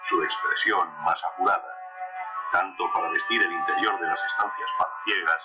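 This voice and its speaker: A man's voice: low-pitched, steady tone, normal speed. A man giving formal, declamatory scripted documentary narration. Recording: archive documentary clip with music behind.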